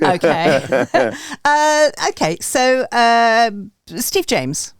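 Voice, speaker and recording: A woman hosts a casual panel chat. Her pitch moves around a great deal, giving her an animated delivery. The sound is dry, with hardly any room on it. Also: very slow, no room sound. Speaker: host of a music review panel show.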